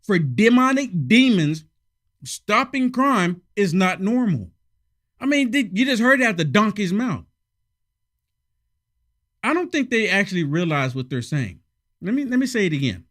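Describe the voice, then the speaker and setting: A man hosting casual political commentary, sarcastic and emphatic, a little hoarse from a head cold. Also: high-pitched, sing-song, a little slow, long pauses, a bit bright.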